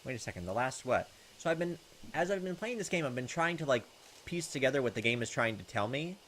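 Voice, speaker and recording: A man's voice: mid-range voice, big pitch swings, quick pace, fairly bright tone, slight background noise. A young man reading a visual novel aloud, mixing dramatic narration with casual, informal commentary. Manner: reads in-game text dramatically, comments informally. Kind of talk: game narration with casual asides. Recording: music behind the voice. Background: video game music.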